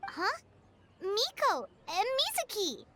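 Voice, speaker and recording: A woman voicing a tiny, excitable, chatty fairy girl companion in a video game, with a squeaky childlike voice. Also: takes it slowly, a little hiss or hum, exaggerated voice. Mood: flustered, curious. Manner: excitable, exclamatory, refers to self in third person. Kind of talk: animated video game voice acting.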